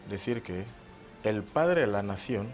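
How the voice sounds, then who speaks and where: A man from Equatorial Guinea speaking as a guest on a studio panel, giving measured advice and quoting proverbs. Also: lowish voice, animated, soft background music.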